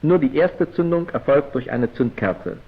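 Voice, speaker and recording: A man's voice: mid-range voice, normal speed, breathy edge. Muffled 1940s film narrator, male. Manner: formal, precise, clipped declamatory delivery. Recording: muffled, dull vintage 1940s film soundtrack.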